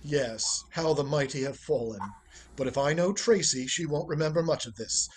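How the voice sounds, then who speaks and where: A man playing a wry, unflappable gentleman in a radio play, dry and teasing. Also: fairly high pitch, normal speed, slight background noise, natural voice, rough recording. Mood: dry, calm.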